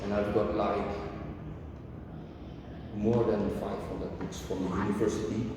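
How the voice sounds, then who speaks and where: A man's voice: on the low side, flat delivery, a little slow. A man from the Netherlands, a book collector chatting casually and rambling as he shows his books. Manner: rambling, restarting, showing books. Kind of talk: casual conversation. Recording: echoey room, distant mic, noisy.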